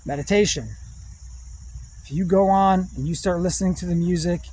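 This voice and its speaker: Slow meditative man, slightly high voice. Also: dark tone. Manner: slow, drawn-out, meditative phrasing with long pauses. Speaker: spiritual speaker. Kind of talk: rambling spiritual monologue.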